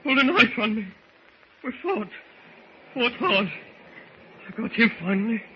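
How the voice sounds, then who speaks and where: A man's voice: very high-pitched, very animated, a little slow, exaggerated voice. A man playing a vicious dying sailor who gloats in gasping, broken phrases, in old radio serial style. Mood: dying, gloating. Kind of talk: 1940s radio serial acting. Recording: dull, hissy old radio recording.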